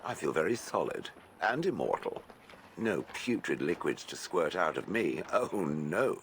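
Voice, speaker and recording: A man voicing a haughty living stone golem in a video game, gravelly, dry and disdainful toward soft creatures. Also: deep voice, big pitch swings, a little slow, a little hiss or hum, heavily acted. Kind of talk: video game character acting.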